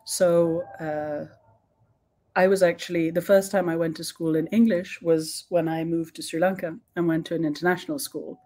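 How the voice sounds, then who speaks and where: A young woman speaking as a guest expert in an online talk, thoughtful, pausing often with ums and restarting her sentences. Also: fairly low pitch, fairly even intonation, dark tone. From born in Sweden, raised in several countries.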